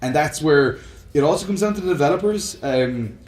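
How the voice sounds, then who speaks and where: A man's voice: a little high, animated, a little fast, a little mellow, roomy sound. A man co-hosting a casual chat about films and games, talking in a rambling, enthusiastic fan's way. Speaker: podcast co-host.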